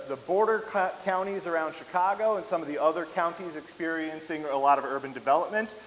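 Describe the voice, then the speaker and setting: A man with a somewhat high voice gives an easygoing, joking presentation to an audience. He speaks loudly into a handheld mic. The sound rings with a long hall reverb under a faint hiss. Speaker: conservation specialist giving a presentation. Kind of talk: public presentation. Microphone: handheld microphone.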